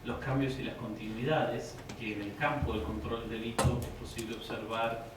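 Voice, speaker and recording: An Argentine criminology professor, a Spanish-speaking man, giving an academic lecture in a halting way, with restarts. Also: medium pitch, flat delivery. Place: university auditorium. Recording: echoey lecture hall from far off, noisy.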